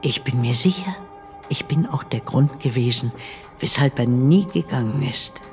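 An actress playing the tender ghost of an older nanny and servant woman in a radio play, hushed and deliberate. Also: extremely low pitch, music in the background. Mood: devoted, mournful. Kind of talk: German radio play narration.

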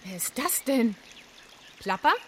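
A no-nonsense, exasperated heroine in a radio play for children. Her voice sits a little high, brisk and matter-of-fact, with clear sound effects noise behind it. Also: big pitch swings. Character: brisk, exasperated female fairy-tale troubleshooter heroine.

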